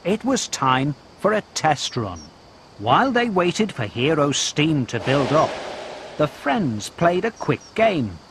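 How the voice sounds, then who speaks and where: A man narrating a children's train story and game in a clear storytelling manner, addressing young children. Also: a little high, sing-song, slow, a little hiss or hum. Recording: loud music behind the voice. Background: music.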